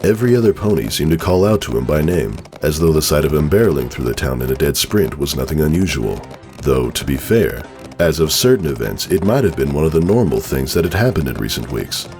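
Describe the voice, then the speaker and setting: A man's voice: rumbling low, big pitch swings, a little fast, darkish tone, faint music behind. A man giving a dramatic storybook narration of a fan fiction tale.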